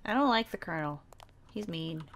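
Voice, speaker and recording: A woman's voice: lowish voice, animated, brisk, vocal fry, a bit bright. A woman voicing a naive, blunt, cheerful young girl survivor in an improvised game roleplay, in simple childlike sentences. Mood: wistful, insistent.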